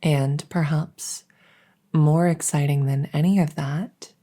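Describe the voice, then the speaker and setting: A woman speaking gently in a soft ASMR style, close to the mic, sometimes dropping into a whisper. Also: sits low, fairly even intonation, slow. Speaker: audio roleplay creator. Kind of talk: soft-spoken ASMR introduction. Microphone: close stereo condenser mic.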